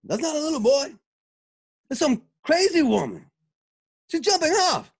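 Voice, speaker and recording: A man voicing an excitable, frantic bandit under attack in a Western radio drama, with a yelping delivery. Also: very high voice, a little slow, creaky, voice pushed up, exaggerated voice. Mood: alarmed.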